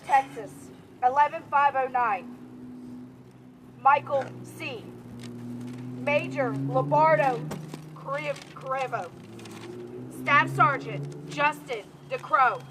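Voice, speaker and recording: A woman at an outdoor rally reading victims' names and ranks in a slow, steady, formal way. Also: sits high, background noise. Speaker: rally speaker.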